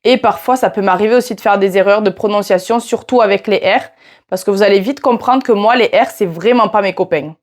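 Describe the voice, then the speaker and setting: A woman's voice: medium pitch, fairly quick, a little mellow. A woman in her twenties with a southern French accent, chatting casually to camera about teaching Portuguese and often turning to the viewer. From France. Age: 20s. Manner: chatty, addresses viewer directly with "tu vois". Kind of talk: casual vlog talking to camera.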